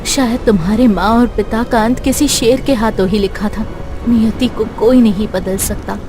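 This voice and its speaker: A woman's voice: fairly high pitch, fairly even intonation, moderate pace, background noise, natural voice. A woman voicing a protective, compassionate talking cow in a cartoon, firm and motherly. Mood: consoling. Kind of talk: Hindi cartoon voice acting. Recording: prominent music bed behind.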